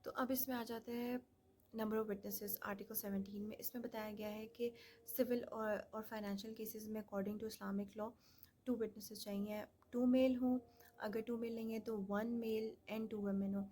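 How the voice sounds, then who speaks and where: A woman's voice: a little high, little pitch movement, measured pace, mellow, slight background noise. A woman with a Pakistani accent explaining law in an informal lecture style, mixing in English legal terms.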